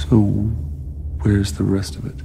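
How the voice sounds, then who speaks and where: An actor playing a weary, guilt-ridden middle-aged scientist in a video game, talking in a halting, hesitant mumble. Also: male voice, on the low side, monotone, vocal fry, mellow, slight background noise. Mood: skeptical. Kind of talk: naturalistic video game cutscene acting.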